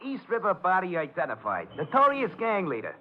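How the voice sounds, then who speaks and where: An actor playing a brash, curt American street newspaper seller in an old-time radio drama. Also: male voice, high voice, huge pitch swings, fairly quick. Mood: announcing. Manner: hawking, curt. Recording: muffled, noisy old radio recording.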